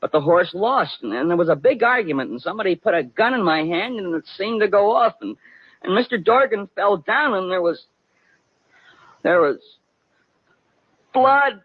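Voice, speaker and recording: An American actor in a 1950s radio drama playing a naive, excitable young would-be detective who stammers when flustered and dramatizes himself. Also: male voice, high voice, normal speed, pauses often, slightly rough, no echo. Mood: distraught, confused. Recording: muffled vintage 1950 radio broadcast.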